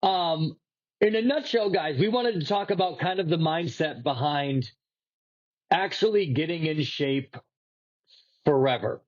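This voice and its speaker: A man's voice: fairly high pitch, measured pace, long pauses, lo-fi sound. A man co-hosting a fitness podcast in casual chat, congested and nasal from a lingering cold, rambling and cursing loosely. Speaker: podcast co-host.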